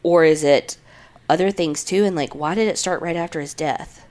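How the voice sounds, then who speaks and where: A woman's voice: sits low, moderate pace. A woman co-hosting a podcast with a congested, stuffy nose, chatting bluntly with plenty of swearing and reading listener stories aloud. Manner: blunt, swears freely, lively storytelling. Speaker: podcast co-host.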